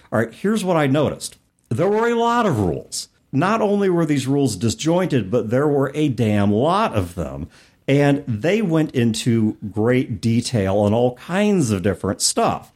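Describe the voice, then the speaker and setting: A man co-hosting a casual gaming discussion, explaining things with rhetorical questions and asides. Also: medium pitch. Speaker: podcast co-host.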